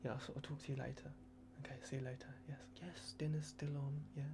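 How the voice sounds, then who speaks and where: A man in an ASMR roleplay, playing a polite, flustered vampire at a clinic in a hushed, hesitant, apologetic way. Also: medium pitch, level tone, fast, noisy background.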